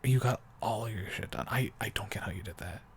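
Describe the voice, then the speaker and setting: Guy acting as a sweet doting boyfriend, low voice, soft rambly bedtime talk, noisy background. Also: lightly acted. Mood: earnest, proud. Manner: soft, halting, rambling, often dropping toward a whisper. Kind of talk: improvised intimate roleplay audio, sleep aid.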